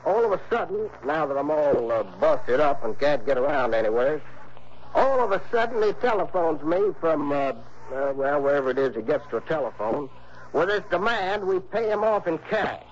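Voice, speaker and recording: A man's voice: fairly high pitch, animated, normal speed, lightly acted. An actor playing a folksy, crotchety small-town insurance man in an early 1960s radio drama, with a hesitant, exasperated drawl. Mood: suspicious. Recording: muffled, noisy old radio broadcast.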